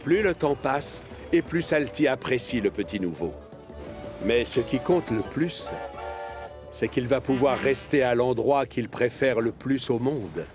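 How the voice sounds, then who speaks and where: A man's voice: mid-range voice, animated, measured pace, music in the background. A man narrating a children's cartoon in a playful storytelling way.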